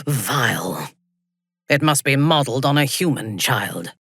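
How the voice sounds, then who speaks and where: A woman voicing a cold, proud, menacing female drow commander in a video game, with haughty, formal, deliberate delivery and a low, husky voice. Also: animated, fairly bright tone, no room sound, studio quality, full character voice. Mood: contemptuous. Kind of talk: dramatic video game acting.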